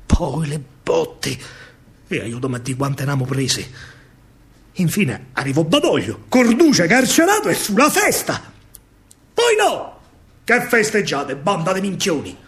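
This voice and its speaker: A man with a Roman accent performing a theatrical verse monologue as a soldier, declamatory and full of pauses. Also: a little high, big pitch swings, fairly bright tone. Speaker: stage actor and storyteller.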